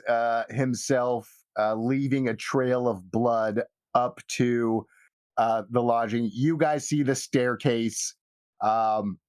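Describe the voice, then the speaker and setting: Male game master, medium pitch, halting improvised narration, very little room sound. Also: darkish tone, no echo.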